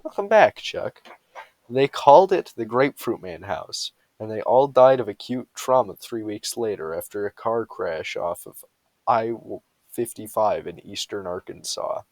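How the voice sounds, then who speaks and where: A man's voice: fairly low pitch, animated, a little slow, darkish tone, dry recording. A man reading humorous bedtime stories aloud with a mock-serious storytelling delivery.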